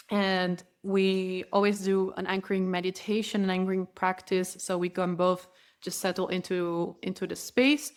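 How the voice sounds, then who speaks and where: Spanish-native woman explaining spiritual practice. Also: mid-range voice. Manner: earnest, flowing explanation with frequent restarts. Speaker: spiritual guide, interview guest. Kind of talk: podcast interview.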